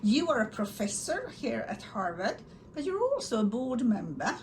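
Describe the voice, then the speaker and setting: A woman's voice: a little high, sing-song, moderate pace. Female podcast host with faint background noise. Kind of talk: prepared introduction and interview questions. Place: university office.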